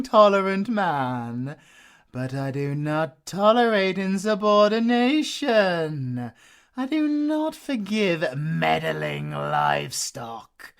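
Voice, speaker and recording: A flamboyant British werewolf villain in an audio drama. He drawls slowly and theatrically, with long pauses and big swings in pitch. He sounds smug, mocking and cruel. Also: gravelly, fairly bright tone, full character voice. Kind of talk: audio roleplay acting.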